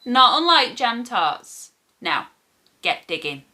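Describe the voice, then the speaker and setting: A woman voicing a gruff but kindly old grandfather in a children's storybook, blunt, teasing and matter-of-fact. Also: fairly high pitch, very animated, takes it slowly, bright, forceful tone, full character voice. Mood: jovial, teasing. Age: older. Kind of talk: children's storybook character reading.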